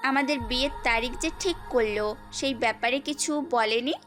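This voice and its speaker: Woman acting a high-voiced, bossy young bride-to-be. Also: brisk, fairly bright tone. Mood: teasing. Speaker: voice actor in an amateur audio story. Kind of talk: acted audio story dialogue. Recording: background music throughout.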